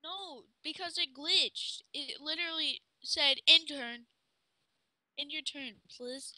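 Young female co-player, very bright high voice, dry mic, excitable and playfully pleading game chat. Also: slow, dry recording, poor audio. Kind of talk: casual gaming chat.

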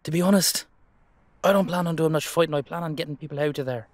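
A man acts a brotherly Irish dullahan, protective and gruffly affectionate. He talks in a reassuring, colloquial way, close and dry, in audio drama style. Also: fairly high pitch, fast, dry recording, lightly acted.

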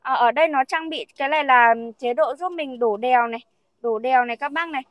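A woman's voice: sits high, fast, no room sound. A young woman selling used cars, chatting casually to viewers and repeating her points as she walks them through a car and a showroom. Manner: chatty, repeats herself, addresses viewers as "các bác". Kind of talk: casual showroom sales walkthrough.

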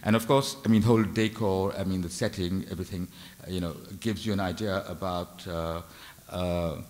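An older man delivers an art history talk from a lectern mic, his voice very low. He hesitates constantly, restarting phrases and filling gaps with "uh" and "you know". The sound carries a long reverb from a large lecture hall. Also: steady tone, normal speed, darkish tone. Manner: hesitant, many fillers and restarts. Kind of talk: unscripted art lecture with slides. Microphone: lectern microphone.

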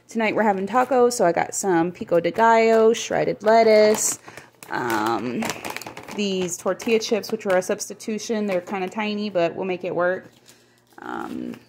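Friendly woman chatting casually. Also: medium pitch. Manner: relaxed, friendly, lots of "um" fillers. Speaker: vlogger showing a grocery haul. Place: home kitchen table indoors. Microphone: phone camera pointed at table.

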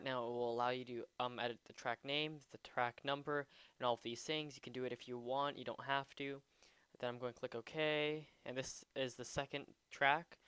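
Clear-voiced man casually walking through software. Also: mid-range voice, dry recording. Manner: hesitant, thinking aloud while demonstrating. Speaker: tutorial presenter. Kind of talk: casual unscripted software tutorial.

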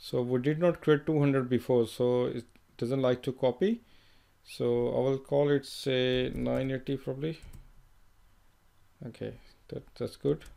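A man talks through a software tutorial at an unhurried pace, thinking aloud and restarting his sentences as he goes. His voice sits at a medium pitch, and keyboard typing clicks in the background. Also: fairly even intonation, creaky. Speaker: software trainer. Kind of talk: screen-recorded tutorial walkthrough.

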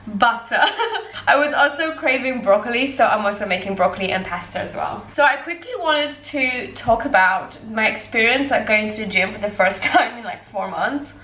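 A woman's voice: mid-range voice, pushed and bright. A young woman in her twenties chatting casually to the camera at home, giggling and rambling with lots of fillers. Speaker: vlogger. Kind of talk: casual vlog chat to camera. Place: home kitchen and living room. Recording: roomy indoor echo.

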